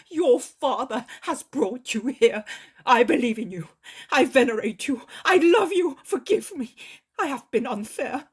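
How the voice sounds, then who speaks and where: A woman's voice: high voice, pitched above the performer's own voice. A woman voicing a distraught older man who begs for mercy through tears. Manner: pleading, desperate. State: crying.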